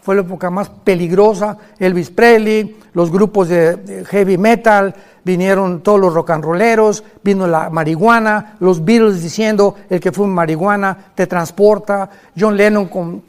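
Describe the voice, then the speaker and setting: Older male preacher, high-pitched, emphatic lecture delivery with jokey asides. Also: steady tone, normal speed, few pauses, clear, resonant tone. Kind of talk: sermon-style conference talk.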